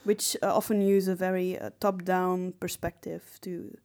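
A woman's voice: on the low side, little pitch movement, normal speed, a little mellow. A woman co-hosting a casual podcast, talking thoughtfully with some hesitant phrasing. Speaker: podcast co-host.

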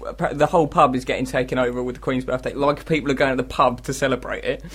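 A man's voice: medium pitch, fairly even intonation, fast talker, fairly bright tone, breathy edge. An English man co-hosting a radio show with casual, comedic, grumbling banter in a studio. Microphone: studio microphone.

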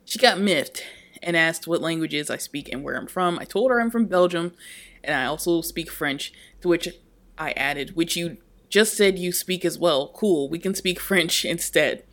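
A woman co-hosting a podcast, reading a post aloud in an animated way and laughing at herself. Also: on the low side, normal speed. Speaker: podcast co-host.

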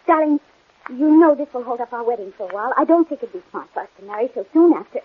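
An earnest, accusing young woman in an old radio melodrama. Her high, slightly husky voice moves quickly and emphatically through a narrow, phone-like broadcast. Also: animated. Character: insistent, accusing young female heiress. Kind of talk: 1940s radio melodrama acting.

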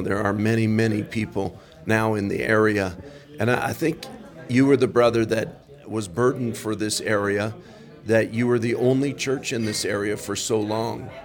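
Deep-voiced podcast host saying amen. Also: male voice. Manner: warm, affirming, frequent amens. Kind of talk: interview on a mission trip.

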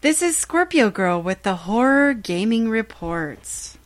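A woman's voice: a little high, very animated, takes it slowly. Woman reading a scripted gaming news report. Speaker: podcast segment host.

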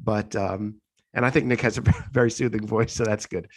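A male host, hoarse from congestion, asking questions in an easygoing interview chat. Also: lowish voice, fairly even intonation, normal speed.

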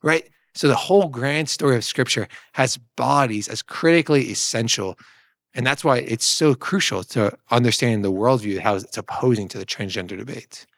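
Lower-pitched man teaching in close, dry sound. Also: normal speed, no room sound. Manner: explanatory, often adds "right?" as a check. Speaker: discussion co-presenter teaching theology. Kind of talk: conversational Bible teaching.

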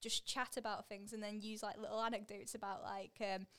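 A woman's voice: mid-range voice, flat delivery, a little fast, fairly bright tone, smooth. A woman hosting a marketing podcast, chatty and eager, peppering her talk with "like" and easy laughter. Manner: chatty, lots of "like" fillers, giggly and eager. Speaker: podcast host. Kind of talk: casual podcast interview.

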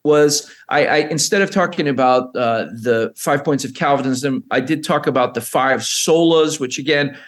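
A middle-aged man, a seminary president, appearing as a guest on a podcast and explaining ideas in a measured, careful way. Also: medium pitch. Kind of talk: podcast interview.

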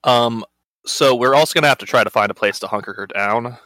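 A man chatting as a player in a tabletop roleplaying game, halting and full of restarts. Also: medium pitch, sharp tone, dry recording. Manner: hesitant, lots of fillers and restarts.

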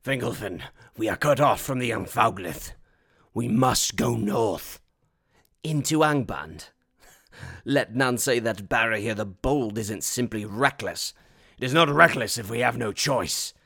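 A man voicing a bold, grim warrior lord of high fantasy with solemn archaic phrasing. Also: expressive, a little slow, vocal fry, bright, forceful tone, breathy edge, no echo, clean studio sound, heavily acted. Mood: grim resolve.

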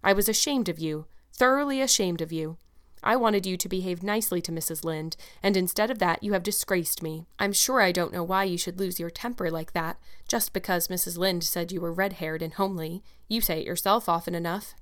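A strict guardian woman in her fifties from a classic storybook, voiced by a woman reader. She is firm and dry, and she reproves in measured, no-nonsense phrases. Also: lowish voice, close to an everyday voice. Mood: stern scolding.

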